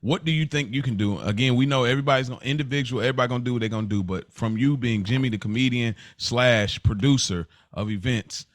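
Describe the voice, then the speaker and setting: A man hosts a casual podcast in a very dry, close-sounding recording. His pitch is average for a man. He talks emphatically, circling back and repeating phrases to drive each point home. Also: fast, few pauses, no room sound. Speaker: podcast host.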